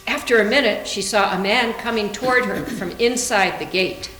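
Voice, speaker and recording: A woman's voice: mid-range voice, normal speed, bright, forceful tone. A woman reading scripted literary narration aloud for a readers theater. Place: indoor room, live library reading. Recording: echoey room with faint hum.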